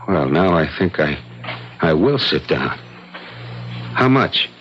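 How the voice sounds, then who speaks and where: A deep-voiced, bitter, mocking twin. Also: male voice, big pitch swings, takes it slowly, a bit bright, faint music behind, full character voice. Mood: sardonic. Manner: sardonic, theatrical, flowery. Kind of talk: old-time radio drama acting. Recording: dull, lo-fi radio drama recording.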